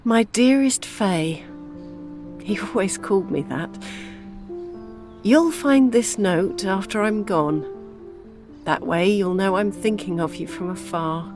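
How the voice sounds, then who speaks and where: An actress playing an older widowed mother in an audio drama, grieving yet gently scheming as a matchmaker. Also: medium pitch, takes it slowly, long pauses, over music. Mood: wistful, tender.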